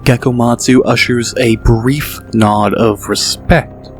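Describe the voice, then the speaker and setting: A man narrates a fantasy audiobook in a steady, mid-pitched voice. He lays out each scene calmly and in detail. Faint music runs underneath. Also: takes it slowly. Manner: steady, descriptive storytelling.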